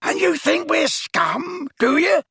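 A man's voice: on the high side, very animated, measured pace, creaky, pushed and bright, breathy edge, dry recording, studio quality, full character voice. A man voicing a vicious old wizard father in an audiobook, bellowing and jeering with contempt. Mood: enraged. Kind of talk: dramatised audiobook character voice.

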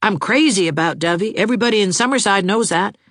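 A woman's voice: medium pitch, dry recording, studio quality, voice pushed up, lightly acted. A woman voicing a lovesick, gloomy young man who talks in an earnest, fretful rush. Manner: earnest, fretful, fast-talking. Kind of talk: audiobook character voice.

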